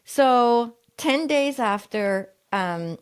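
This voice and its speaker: A woman's voice: on the high side, drawn-out words, smooth. Swedish-raised woman telling her story. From Sweden. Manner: animated retelling, acts out quoted voices. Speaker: guest. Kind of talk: personal storytelling.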